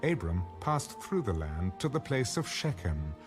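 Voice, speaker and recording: A man's voice: lowish voice, sing-song, measured pace. A man narrating scripture as a scripted audio Bible reading, with music playing behind him. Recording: music under the voice.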